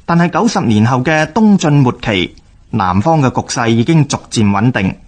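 A man with a Hong Kong Cantonese accent reads scripted historical radio narration in a formal, measured way. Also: medium pitch, very animated.

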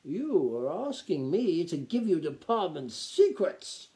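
A man voicing a gnome count in a storybook, theatrical, measured and drily courteous. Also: a little high, sing-song, warm tone, voice pushed up, heavily acted. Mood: dry scepticism.

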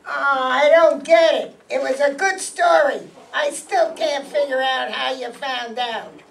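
A short, cornered gangster from a radio mystery, played by a woman, talks in slangy, wheedling phrases. The voice is fairly high and creaky, with a slow drawl, picked up at a distance in a room. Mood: caught, grumbling. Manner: slangy, drawn-out tough-guy talk. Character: short male small-time gangster, five-foot-three, cornered and wheedling. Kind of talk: radio mystery acting before a live audience. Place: meeting room stage, camera among audience. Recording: distant mic in an audience room.

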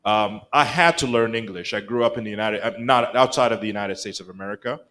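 A man's voice: lowish voice, fast. Rwandan preacher guy telling a bible story in a church, acts out the voices, kinyarwanda first language. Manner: animated storytelling, acts out quoted lines. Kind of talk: sermon. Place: church sanctuary, mid-sized room. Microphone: pulpit microphone, filmed by a far camera.

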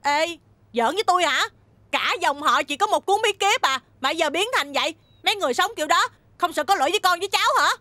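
A woman's voice: very high voice, animated, bright, forceful tone, heavily acted. A woman voicing a brash teenage girl. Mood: indignant. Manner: quick, indignant, chatty. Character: teenage girl, scatterbrained then sharp-tongued. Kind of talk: Vietnamese cartoon dub.

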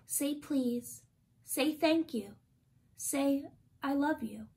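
A young woman reads a picture book aloud for children in a high voice. Her pace is very slow and deliberate, with gently expressive phrasing. She is recorded indoors in a small room. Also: slight background noise. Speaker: storytime reader.

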